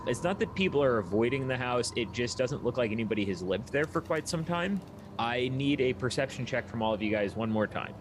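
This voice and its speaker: A man's voice: medium pitch, animated, a little fast, background noise. A man narrating a tabletop roleplaying game as its game master, describing scenes and asking for checks.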